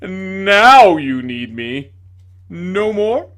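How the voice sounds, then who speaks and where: A man's voice: sits high, very animated, drawn-out words, fairly bright tone, voice pushed up, full character voice. A man voicing a pompous, melodramatic male ghost who declaims everything like a stage tragedian. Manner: declaiming, overwrought.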